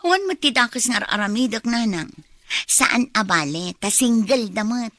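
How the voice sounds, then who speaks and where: A young daughter in a radio drama, defensive and expressive. Her voice is somewhat high with a Filipino accent, recorded very dry. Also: moderate pace, dry recording. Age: young adult. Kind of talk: Ilocano radio drama acting.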